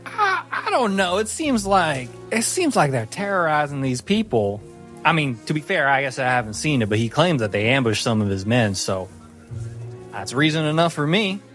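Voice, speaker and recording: A man's voice: fairly high pitch, big pitch swings, normal speed, a bit bright, soft background music. A man voicing a tiny, earnest, slightly unhinged swordsman in a tabletop game, cheerful, chivalrous and rambling. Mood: cheerful, indignant.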